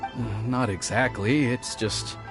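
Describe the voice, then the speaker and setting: A man's voice: mid-range voice, slow pace, over music, close to an everyday voice. A man playing an earnest, dutiful and anxious young retainer in a video game, formal and hesitant in manner. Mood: worried, subdued. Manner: formal, hesitant, self-reproaching.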